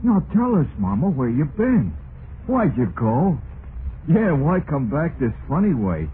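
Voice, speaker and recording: A man's voice: fairly high pitch, sing-song. An actor playing a simple, trusting son who longs for his mama, plaintive and folksy in an old-time radio drama. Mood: anxious, overjoyed. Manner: slow-witted, plaintive, folksy. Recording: tinny, noisy vintage radio recording.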